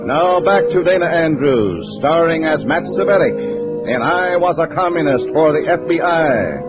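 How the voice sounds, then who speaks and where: A man's voice: a little high, lively intonation, a little slow. A man announcing a 1950s radio drama in the style of a network announcer of that era. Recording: muffled vintage radio recording, loud music.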